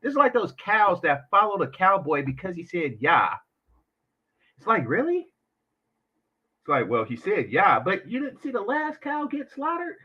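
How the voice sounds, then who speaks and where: A man with a high, very lively voice runs a reaction commentary full of sarcastic, mocking asides. His pitch swings up and down as he rants. The audio is dull and lo-fi. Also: moderate pace, breathy edge. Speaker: commentary channel host.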